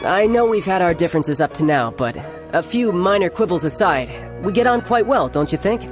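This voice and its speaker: Game role: young warrior big brother, smooth-talking and cocky, very animated delivery, music underneath. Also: male voice, high voice, fairly quick. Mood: breezy. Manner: smooth-talking, breezy, self-assured. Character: breezy, cocky young male fighter, affectionate big brother. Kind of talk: animated video game character acting. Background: background game music.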